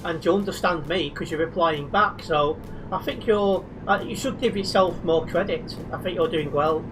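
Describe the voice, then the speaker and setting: Chatty high-voiced man live-streaming. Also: moderate pace, noisy background. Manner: chatty, reads out chat, thanks viewers. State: sleep deprived. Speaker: game streamer. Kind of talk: casual live-stream chat while driving.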